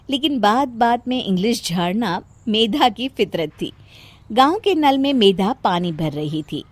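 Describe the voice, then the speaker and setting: High-voiced animated woman storyteller. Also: no echo. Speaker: narrator. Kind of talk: scripted story narration.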